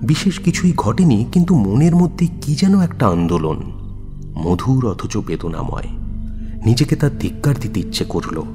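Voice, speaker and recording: A man's voice: medium pitch, sing-song, moderate pace. A man narrating a dramatic audio story in a literary, theatrical manner. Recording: long reverb, music behind. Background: music.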